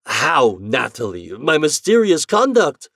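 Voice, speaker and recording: A man's voice: a little high, huge pitch swings, a little slow, creaky, no room sound, clean studio sound, pitched above the performer's own voice, full character voice. A man voicing a mild, apologetic young husband. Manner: gentle, explaining.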